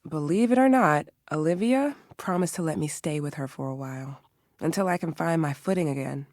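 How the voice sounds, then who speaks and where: A woman's voice: deep voice, a little fast, darkish tone, dry recording, studio quality, lightly acted. A woman voicing a wounded but grateful game character, solemn and heartfelt. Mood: relieved, grateful. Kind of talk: video game voice acting.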